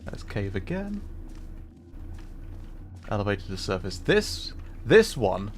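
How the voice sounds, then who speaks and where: Male game streamer, lowish voice, casual musing commentary, halting, playful asides, faint background noise. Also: sing-song. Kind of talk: casual live gameplay commentary.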